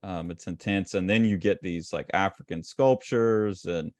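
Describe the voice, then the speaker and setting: A man's voice: low-pitched, normal speed, a little mellow, no room sound. A man co-hosting a casual art discussion, talking loosely and eagerly with many fillers and restarts. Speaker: co-host.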